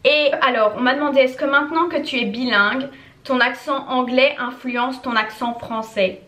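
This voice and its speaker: A woman's voice: high-pitched, normal speed, bright, forceful tone. Chatty young woman in an echoey room. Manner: chatty, repeats words for emphasis. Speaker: YouTuber answering viewer questions. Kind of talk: casual chat to camera.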